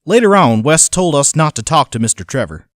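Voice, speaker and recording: A man's voice: fairly high pitch, sing-song, fairly quick, no room sound, studio quality. A man playing an uneasy, working-class groundskeeper who gives a colloquial, plainspoken journal account in an audio drama. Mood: uneasy, matter-of-fact.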